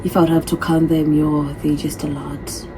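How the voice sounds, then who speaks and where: Woman, 30s, low voice, casual vlog Q&A, repetitive, noisy phone recording. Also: flat delivery, moderate pace. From from a different continent. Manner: chatty, repeats herself, reads questions aloud. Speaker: vlogger.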